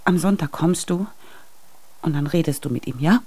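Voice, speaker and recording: A woman's voice: deep voice, moderate pace, mellow, husky. An actress playing an anxious, insistent middle-aged mother and wife in a naturalistic radio drama. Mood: probing. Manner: worried, insistent, probing.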